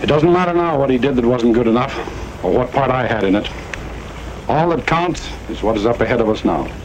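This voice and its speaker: A man's voice: mid-range voice, expressive, close to an everyday voice. Stern, grieving frontier lawman, played by a man. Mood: stern resolve. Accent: American. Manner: grave, deliberate, stern. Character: grieving, resolute father turned frontier lawman. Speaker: actor. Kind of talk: mid-century western drama acting. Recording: noisy old western soundtrack.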